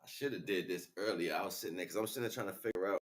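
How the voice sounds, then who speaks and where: A man rambling casually on a livestream in slangy, muttered talk with a gravelly voice. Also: low voice, very fast. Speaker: live streamer. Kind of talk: casual unscripted livestream chat.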